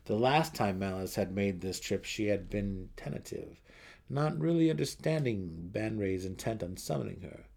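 A man's voice: lowish voice, lively intonation, moderate pace, vocal fry, darkish tone. A man narrating a fantasy audiobook dramatically, with theatrical emphasis.

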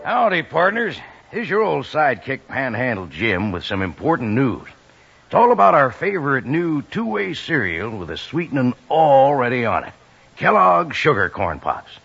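Gravelly folksy cowboy pitchman. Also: very animated, normal speed, a bit bright, exaggerated voice. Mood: jovial. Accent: American. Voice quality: gravelly, husky. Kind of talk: 1950s radio commercial acting. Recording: dull, echoing vintage radio recording.

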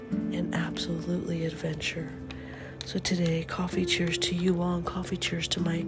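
A middle-aged woman hosting a podcast in a casual, upbeat morning chat, often half-whispering, with a stuffy nose from a head cold. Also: fairly low pitch. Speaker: podcast host.

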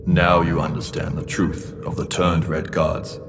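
An actor playing a grave, commanding mentor in a sci-fi audio drama, terse and solemn. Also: male voice, sits low, level tone, a little slow, background noise. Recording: reverberant, with music underneath.

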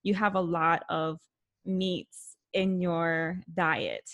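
Woman host, casual interview, friendly and chatty, fills pauses with "um" and "like". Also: on the low side, little pitch movement, a bit bright, lo-fi sound. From Puerto Rican family background. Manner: friendly, chatty, with frequent fillers.

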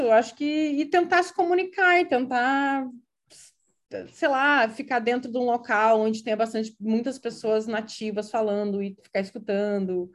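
A woman from Brazil with a gaúcho accent, talking casually and hesitantly, full of fillers and restarts. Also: on the high side. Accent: Gaúcho Brazilian Portuguese. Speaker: interviewee. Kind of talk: casual conversational account.

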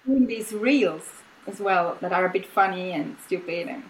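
A woman's voice: on the low side, moderate pace, rough recording. A middle-aged woman from Austria, a native German speaker, chatting casually as an interview guest on a video call, laughing often and restarting her sentences. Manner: relaxed, laughs often, many fillers and restarts. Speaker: guest artist.

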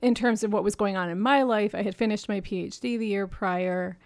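A woman's voice: mid-range voice, steady tone, brisk. A woman in her thirties telling her personal story as a podcast guest, earnest and reflective, laughing often between thoughts. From Japan and New York City. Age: 30s.

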